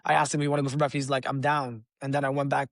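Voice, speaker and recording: A man's voice: mid-range voice, little pitch movement, racing, no echo, studio quality. A young man from Egypt, a guest on an interview podcast, telling his story in a loose, chatty way with many restarts.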